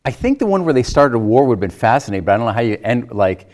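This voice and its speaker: A man's voice: mid-range voice, sing-song, very fast, a little mellow. A man chatting informally about film as a guest, tentative and thinking aloud as he goes. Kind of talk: casual film discussion.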